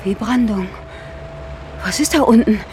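A woman's voice: on the high side, unhurried, close to an everyday voice. A woman playing an anxious, insistent stranded woman in a radio crime drama, urgent and questioning. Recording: noisy outdoor ambience behind voice.

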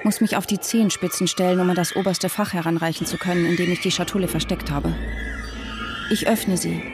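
A woman's voice: fairly low pitch, steady tone, brisk, lightly acted. Woman acting a haunted, defiant wife, music behind. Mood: grim resolve. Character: frightened, haunted wife and mother, defiant under pressure. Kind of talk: German radio drama acting with inner monologue.

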